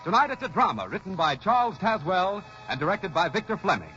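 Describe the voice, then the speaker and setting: A man's voice: high voice, very animated, brisk, pushed and bright. A man announcing a vintage radio show in an emphatic, formal showman style. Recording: dull vintage radio recording with music.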